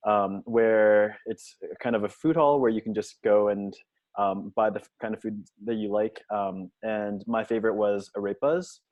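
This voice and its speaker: A young man from the D.C. area introducing himself on a panel in a relaxed, chatty way. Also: lowish voice, moderate pace, no echo. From Washington, D.C. area, USA. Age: young adult. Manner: relaxed, chatty, self-deprecating jokes. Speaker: panelist, service member. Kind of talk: casual introduction on a video call. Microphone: video call. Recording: dull, dry video call audio.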